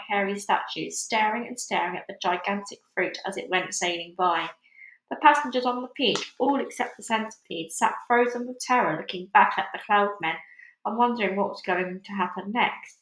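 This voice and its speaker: A woman narrating a children's book aloud in an expressive storytelling way. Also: medium pitch. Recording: echoey room.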